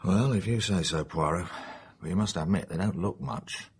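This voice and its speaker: An actor playing a blunt, wry, sceptical British police inspector in a radio drama, with informal, good-natured speech. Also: male voice, sits low, moderate pace, slightly rough, heavily acted.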